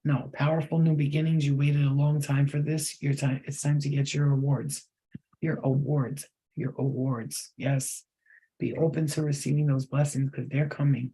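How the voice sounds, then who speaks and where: A man's voice: fairly high pitch, little pitch movement, moderate pace, dark tone, husky, poor audio. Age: unknown. Sex: man. Style: emphatic psychic reading. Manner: emphatic, repetitive, talks straight to the viewer. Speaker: psychic reader. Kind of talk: channeled tarot-style reading to viewers.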